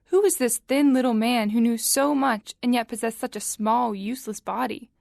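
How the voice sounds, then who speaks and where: A woman narrates a dramatic tale in a slightly high voice. Her delivery is measured and suspenseful, recorded close and dry. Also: gravelly, a little mellow, dry recording. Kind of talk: scripted story narration.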